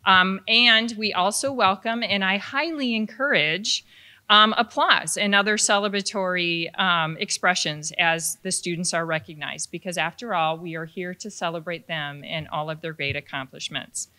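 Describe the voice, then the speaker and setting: Bright-voiced woman presenting at a ceremony. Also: lowish voice, moderate pace. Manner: friendly, lightly joking, formal announcements. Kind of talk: commencement address at a podium. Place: large wood-panelled hall with an audience. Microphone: podium microphone.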